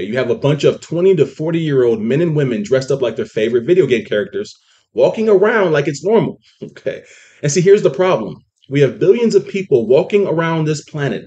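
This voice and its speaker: A man's voice: fairly high pitch, a little mellow, muffled recording. A man giving a casual sermon-style commentary, emphatic, often saying "okay" and "see" as he makes his point. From California. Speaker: online preacher.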